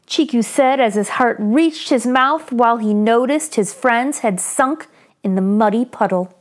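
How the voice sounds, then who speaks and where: A woman reading a children's story aloud in an expressive, deliberate storytelling style. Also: fairly high pitch. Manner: animated, deliberate storytelling delivery. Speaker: children's story reader, channel host.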